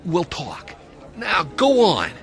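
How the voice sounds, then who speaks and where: An American actor playing a loyal, worried diner owner in a noir audio drama, talking in a halting, folksy way. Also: male voice, sits high, wildly swooping pitch, creaky, a crowd in the background, exaggerated voice. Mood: concerned. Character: gruff-hearted male diner owner, loyal worried old friend.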